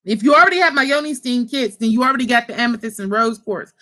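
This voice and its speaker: A high-pitched woman chatting casually to her viewers about spiritual kits she sells. She is animated and talkative, swears freely and keeps calling her audience y'all. She comes from Pittsburgh. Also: fast talker. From Pittsburgh, USA. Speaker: spiritual kit seller and channel host. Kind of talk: casual livestream chat to viewers.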